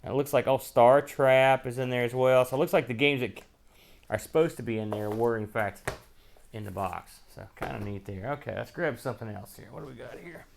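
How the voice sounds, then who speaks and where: A man's voice: mid-range voice, fairly quick, creaky, slight background noise. A man hosting an unboxing show, giving casual, off-the-cuff commentary in a folksy, colloquial way as he thinks aloud. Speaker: host of a retro computer unboxing show.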